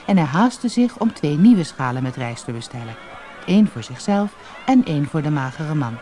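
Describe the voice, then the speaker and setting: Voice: mid-range voice, sing-song, normal speed, mellow. A narrator reading a storybook expressively and dramatically for an audiobook, on an old cassette recording.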